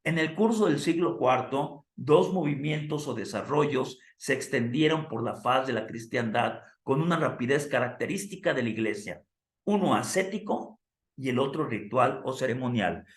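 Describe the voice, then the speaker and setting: A hoarse man teaching a theology class. He asks the students questions and calls them "amados" like a preacher would. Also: medium pitch, expressive, moderate pace, reverberant. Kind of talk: classroom lecture with preacher cadence.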